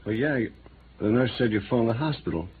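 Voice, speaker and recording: An actor playing a brisk, matter-of-fact American sidekick in a 1950s radio noir drama. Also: male voice, mid-range voice, animated, lightly acted. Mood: brisk, puzzled. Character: brisk, plain-spoken male sidekick named Joe. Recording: tinny, hissy vintage radio recording.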